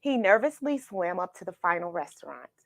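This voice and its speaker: A woman reading a children's story aloud, expressive and dramatic, with pauses for effect. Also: lowish voice, vocal fry, dry recording, clean studio sound. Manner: expressive, dramatic pauses, asks the listener questions. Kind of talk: animated read-aloud storytelling.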